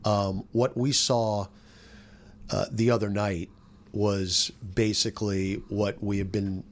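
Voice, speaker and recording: Male sports radio panelist, low pitch, conversational analysis. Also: little pitch movement, takes it slowly, slight background noise. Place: studio roundtable.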